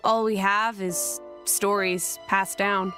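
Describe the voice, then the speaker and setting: Earnest young heroine, music behind. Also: female voice, mid-range voice, little pitch movement, takes it slowly, bright, forceful tone. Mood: searching, sceptical. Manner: direct, probing, restrained. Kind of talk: dramatic video game voice acting.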